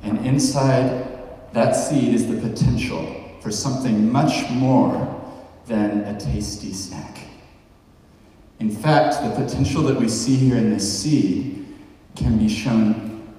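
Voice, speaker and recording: A man's voice: medium pitch, takes it slowly, dark tone. Nz guy in his 40s doing a chatty conference talk, asks the crowd stuff, long hall reverb, mic far away. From New Zealand. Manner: conversational, asks the audience questions. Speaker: podcast host giving a talk. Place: large hall with a stage. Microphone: handheld mic, heard from far off.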